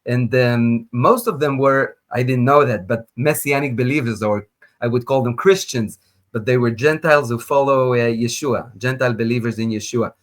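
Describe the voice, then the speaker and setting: A man's voice: medium pitch, normal speed. Israeli-accented man telling his story. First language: Hebrew. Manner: storytelling, animated, with small grammar slips. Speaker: guest scholar. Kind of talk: personal testimony in interview.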